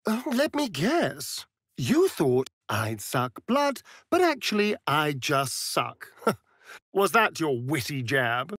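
An actor playing a sardonic, vain vampire rogue in a video game, with a theatrical, arch, drawling delivery. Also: male voice, on the high side, big pitch swings, slow, a bit bright, no room sound, studio quality, full character voice. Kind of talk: video game character voice lines.

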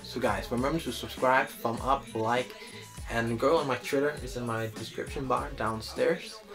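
A young man chatting casually to camera, sometimes halting as he searches for words. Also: medium pitch, fairly even intonation, music in the background. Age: young adult. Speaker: vlogger. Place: small indoor room.

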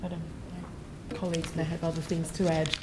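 A woman on a panel in a lecture theatre answers a question from the audience. She speaks in a measured, hedging way, with a fairly low voice. A faint hum sits under her speech. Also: completely flat delivery.

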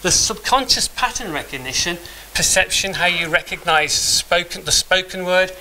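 A man's voice: sits high, lively intonation, moderate pace, bright, forceful tone, slight background noise, poor audio. A middle-aged man giving a live talk with a demo in a meeting room, speaking conversationally with frequent restarts and fillers. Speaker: conference speaker.

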